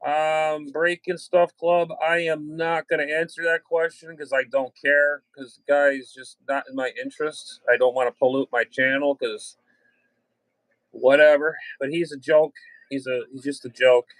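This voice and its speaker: A man's voice: fairly high pitch, flat delivery, normal speed, fairly bright tone, no echo, poor audio. Blunt man chatting casually, dry close sound. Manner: blunt, slangy, swearing. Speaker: livestream host and ham radio hobbyist. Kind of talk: casual livestream chat.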